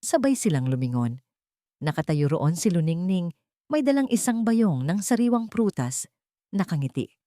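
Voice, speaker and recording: Woman narrating drama with sweeping, animated pitch. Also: moderate pace, dry recording, studio quality. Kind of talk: dramatic scripted storytelling narration of a love story.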